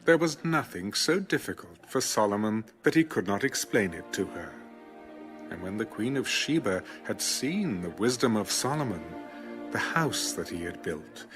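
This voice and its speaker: A man with a low voice narrates scripture in a dramatic, scripted style. His intonation is highly expressive, and music plays behind him. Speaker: audio Bible narrator. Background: background music.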